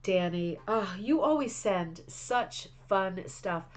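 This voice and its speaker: A woman's voice: mid-range voice, lively intonation, slow. A woman hosting a craft club livestream, chatting casually and reading viewer messages with enthusiasm, sounding slightly congested. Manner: chatty, enthusiastic, frequent restarts and fillers.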